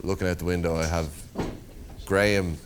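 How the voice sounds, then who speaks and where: A man's voice: sits low, steady tone, normal speed, noisy background. An Irish man narrating his own documentary in a casual, reflective voice-over, often adding "you know". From Ireland. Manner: casual and conversational, with many "you know" fillers. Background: music under the narration.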